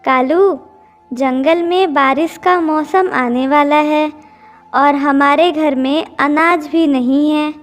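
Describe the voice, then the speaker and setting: A woman's voice: high-pitched, steady tone, slow pace, soft background music. A woman voicing a humble, earnest mother bird in a children's cartoon, speaking politely and pleadingly. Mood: concerned. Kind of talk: Hindi children's cartoon dubbing.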